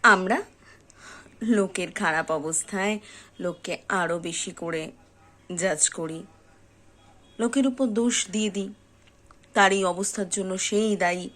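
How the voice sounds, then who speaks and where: A woman giving a motivational talk straight to the listener, earnest and emphatic, in short deliberate phrases. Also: medium pitch, a bit bright. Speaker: host of a motivational reflection channel.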